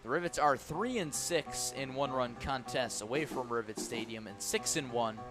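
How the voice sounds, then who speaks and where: A man calling a baseball game live on the radio as the play-by-play announcer, animated on big plays and playful with his phrasing. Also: mid-range voice, normal speed, music in the background. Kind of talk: live radio sports commentary. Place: live at a ballpark broadcast position.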